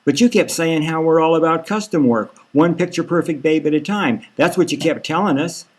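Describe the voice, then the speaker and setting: Fed-up surrogate mom acted by a man, satirical audiobook, pitched up, pressing and demanding. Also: a little fast, lightly acted. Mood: reproachful. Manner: insistent, repetitive questioning. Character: indignant, nagging surrogate mother confronting her boss. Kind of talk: satirical audiobook character reading.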